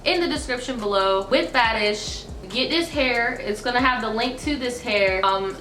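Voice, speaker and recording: A woman's voice: mid-range voice, sharp tone, reverberant. Chatty young woman with a stuffy nose. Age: 20s. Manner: chatty, slangy, lots of fillers. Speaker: YouTuber reading viewer stories. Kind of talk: casual advice chat and reading letters aloud. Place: small indoor room, seated before a dark backdrop.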